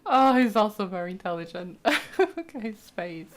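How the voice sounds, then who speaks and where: A woman's voice: mid-range voice, animated, moderate pace, heavy background noise. A woman doing casual let's play commentary, reacting to a game in a gushing, fannish way. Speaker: gaming let's-player.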